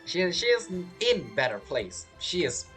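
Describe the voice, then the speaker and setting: Female game streamer, low-pitched, very expressive intonation, casual and rambling, reacting aloud. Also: unhurried, soft background music. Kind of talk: casual let's-play commentary.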